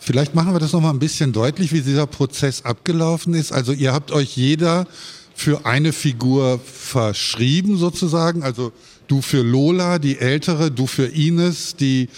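A man moderating a panel interview, phrasing his thoughts in a reflective, measured way. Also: a little high, a little mellow.

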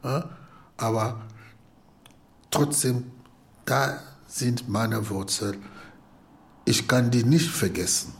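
A man's voice: mid-range voice, slow pace, creaky, long pauses. A man from Congo with a Congolese accent, reflecting personally as an interviewee. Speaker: interviewee in a radio feature. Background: music.

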